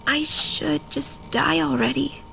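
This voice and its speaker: A woman plays a fragile, timid schoolgirl in an anime-style dub. Her high voice drags slowly and crackles into creak, faltering with despair. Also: bright, forceful tone, full character voice.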